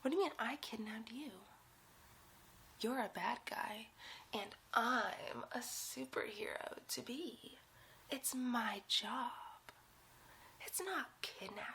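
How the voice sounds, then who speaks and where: A woman's voice: a little high, takes it slowly, pauses often, pushed and bright, a little hiss or hum, heavily acted. A woman voicing a giddy, bossy young superhero sidekick in a whispered, theatrical, teasing ASMR roleplay. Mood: indignant.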